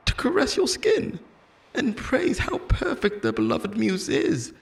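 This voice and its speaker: A man's voice: high-pitched, creaky, full character voice. A man playing an obsessive immortal lover in an audio roleplay, theatrical and intense, shifting between pleading tenderness and menace. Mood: desperate, obsessive. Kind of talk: dramatic ASMR-style audio roleplay monologue.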